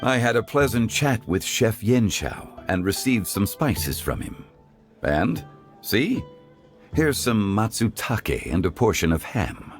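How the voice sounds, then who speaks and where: A man playing a calm, erudite gentleman in an anime game, speaking formally and eloquently in measured phrases. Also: fairly low pitch, soft background music. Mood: mild, unhurried.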